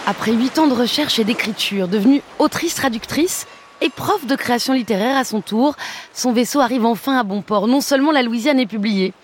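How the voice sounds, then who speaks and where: A woman radio host reading a crisp portrait of her guest, then asking questions in a chatty way. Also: on the high side, fairly quick, a little hiss or hum. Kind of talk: scripted portrait then interview.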